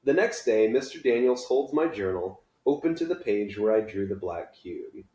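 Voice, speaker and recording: A man's voice: fairly low pitch, expressive, normal speed, dark tone. A man reading a children's book aloud in a dramatic, storytelling manner. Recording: echoey room.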